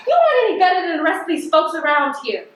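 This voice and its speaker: A woman's voice: very high-pitched, very animated, quick pace, a little hiss or hum. An actress on stage playing a defiant, embittered working-class woman postal carrier from the projects, speaking in an emphatic, confrontational, colloquial way. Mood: angry, accusing. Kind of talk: stage play acting. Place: theatre stage. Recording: very echoey stage recording.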